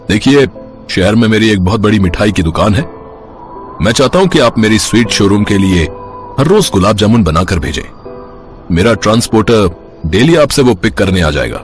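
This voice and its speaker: A well-off city shop owner in a moral story, played by a man. He is appreciative and businesslike, in a fairly low voice with lots of melodic movement. Music sits under the speech. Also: natural voice.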